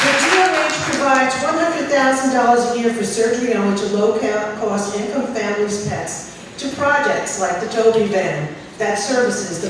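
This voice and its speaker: A woman, an animal rescuer, reading a prepared public statement in an earnest, urgent way, recorded in a large meeting hall. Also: fairly high pitch. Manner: earnest, urgent, pressing with figures. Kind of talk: prepared statement read aloud. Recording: echoey hall from far off, faint noise.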